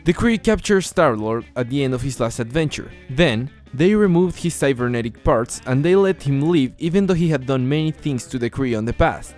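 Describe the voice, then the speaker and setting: A man's voice: medium pitch, animated, normal speed, hardly any pauses, darkish tone, soft background music. A man reviewing a comic book as a channel host in earnest, scripted narration, often posing rhetorical questions to the viewer.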